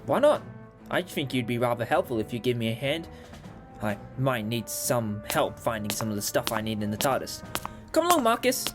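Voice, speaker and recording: A man acting a brisk, upbeat time-travelling alien adventurer in a fan audio drama, playful with hesitant asides. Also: mid-range voice, big pitch swings, close to an everyday voice. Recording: loud music behind.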